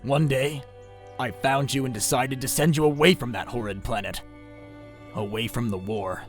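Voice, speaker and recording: A man voicing a solitary male warrior mentor in an audio drama, grave and measured, with a gravelly voice. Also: mid-range voice, faint music behind, close to an everyday voice.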